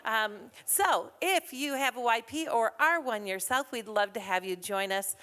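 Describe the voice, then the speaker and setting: A woman's voice: fairly high pitch, unhurried, fairly bright tone. A woman hosting a chamber of commerce morning event, chatty and upbeat, with frequent fillers, speaking into a mic in an event hall. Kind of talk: emcee announcements at a morning business event. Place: event hall with a live audience.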